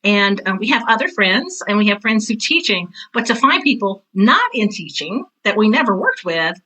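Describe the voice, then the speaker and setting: A woman's voice: medium pitch, sing-song, normal speed, sharp tone, low-quality recording. A woman in her sixties chatting as an interview guest, telling stories in a casual, emphatic way. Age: 60s. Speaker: interview guest, retired theater teacher and director. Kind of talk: casual conversational storytelling.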